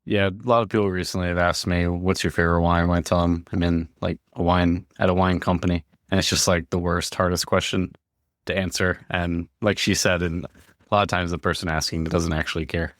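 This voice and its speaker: A man's voice: low-pitched, flat delivery, brisk, no room sound, studio quality. A man co-hosting a podcast, talking in a casual conversational style. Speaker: podcast co-host.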